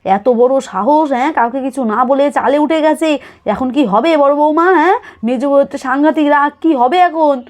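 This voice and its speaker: A woman's voice: sits high, fairly quick, natural voice. A woman voicing a protective, scolding village grandmother in a children's doll-story cartoon, fretfully repeating her commands. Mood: worried.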